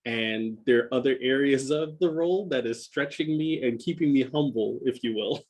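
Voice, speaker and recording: A man's voice: medium pitch, normal speed, darkish tone. A man in his thirties from Jamaica who grew up partly in Philadelphia, telling his story reflectively as an interview guest, sometimes repeating and correcting himself. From Jamaica, moved to Philadelphia as a child. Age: 30s. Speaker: podcast guest, school enrollment director.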